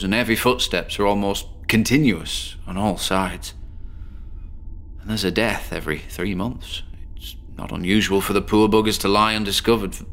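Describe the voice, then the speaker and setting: Deep-voiced, troubled British loner. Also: male voice, a bit bright. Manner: weary, hesitant, rambling confessional storytelling. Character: troubled, insomniac bedsit loner, morbid and self-deprecating patient. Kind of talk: dramatic monologue in a horror audio drama.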